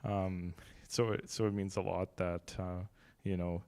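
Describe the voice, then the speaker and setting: A man's voice: low-pitched, little pitch movement, a little slow, warm tone. A young man, a gallery's artistic director, giving casual welcoming remarks into a handheld microphone at a long table in a gallery room, with many fillers, restarts and light jokes.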